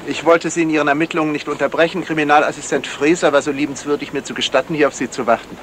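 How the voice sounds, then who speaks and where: Actor as urbane, sly gentleman sleuth, noisy recording. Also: on the high side, steady tone, racing, close to an everyday voice. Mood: courteous. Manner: polite, suave, wry. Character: urbane, courteous amateur detective and writer, sly. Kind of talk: 1970s German radio crime drama acting. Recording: noisy 1970s radio play recording.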